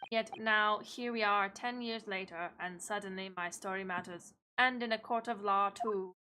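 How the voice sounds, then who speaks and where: A woman's voice: mid-range voice, normal speed, sharp tone, slight background noise, natural voice, rough recording. A woman voicing a bitter, wry male witness in a courtroom video game, formal and theatrical. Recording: music under the voice.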